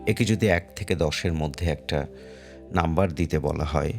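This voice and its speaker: A man narrating a guided meditation in a measured way, pausing between short phrases. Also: extremely low pitch, gravelly. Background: faint music.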